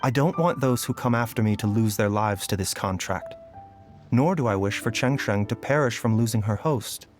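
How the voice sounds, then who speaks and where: Man voicing a calm, philosophical young doctor. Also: on the low side, soft background music, lightly acted. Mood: reflective. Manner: calm, measured, reflective.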